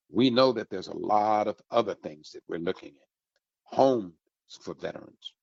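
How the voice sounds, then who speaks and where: A man with a low, husky voice hosts a community radio show, selling the area to listeners in a folksy, upbeat way. The sound is dry and a little muffled, like a lo-fi broadcast. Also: darkish tone, dry recording. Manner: folksy, upbeat promotional delivery. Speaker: radio host.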